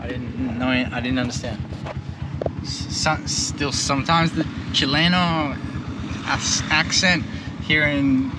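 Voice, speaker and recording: A young Australian man talks through his road trip in an easygoing, rambling way, leaning on "like" and "um". His voice sits at an ordinary middle pitch. Passing traffic and engine noise are plainly audible behind him. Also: fairly even intonation, slow pace. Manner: chatty, laid-back, lots of "like" and "um" fillers. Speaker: travel vlogger. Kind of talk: casual travel vlog chat. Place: outdoors by a road while driving a tuk-tuk. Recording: outdoor recording with clear traffic noise. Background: traffic and vehicle noise.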